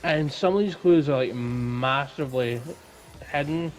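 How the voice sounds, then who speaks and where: A man's voice: medium pitch, unhurried, soft background music. A man co-hosting a podcast in casual, informal conversation. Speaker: podcast co-host.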